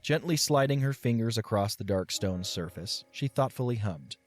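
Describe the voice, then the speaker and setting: A man narrating an audio drama in a measured, expressive storybook style. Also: lowish voice, faint music behind.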